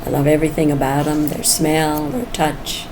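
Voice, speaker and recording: A woman's voice: sits low, little pitch movement, normal speed. A woman of almost seventy talking casually and candidly in a personal vlog, musing as she goes. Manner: candid, musing, chuckles often. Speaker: vlogger. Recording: noisy background ambience.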